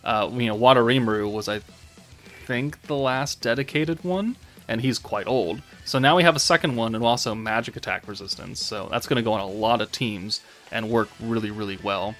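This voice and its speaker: A man's voice: mid-range voice, normal speed, a bit bright. Casual rambling man over background music. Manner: informal, rambling, thinking aloud. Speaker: gaming channel host. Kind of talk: casual game update commentary.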